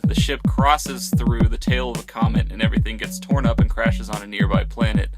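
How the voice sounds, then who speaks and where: A man hosting a film video series, giving a semi-scripted explainer narration. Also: medium pitch. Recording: loud music behind the voice. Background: loud music.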